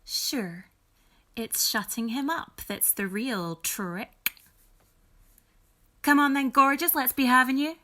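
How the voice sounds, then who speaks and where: A woman acting bossy squad leader, bright forceful voice, fairly high, sardonic, brisk, audio drama, music. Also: exaggerated voice. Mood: teasing. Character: Daisy, brisk bossy sardonic female military ringleader.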